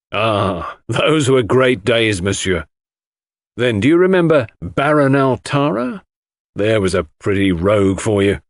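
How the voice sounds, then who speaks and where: A male narrator puts on a jovial police inspector in a detective audiobook. He is colloquial, cocky and breezy, with a very lively melody and a dry, close sound. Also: medium pitch, no echo, pitched above the performer's own voice, lightly acted.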